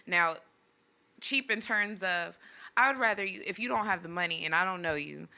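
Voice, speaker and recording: Podcast guest, woman in her twenties, low, bright-edged voice, blunt and opinionated. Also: moderate pace, creaky. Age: 20s. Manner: frank and opinionated, casual swearing. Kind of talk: casual podcast chat.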